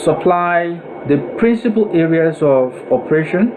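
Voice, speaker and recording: A man with a Nigerian accent giving a deliberate, step-by-step software tutorial voice-over, phrase by phrase, as an instructor. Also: a little high. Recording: noisy room with steady air-conditioning-like hum.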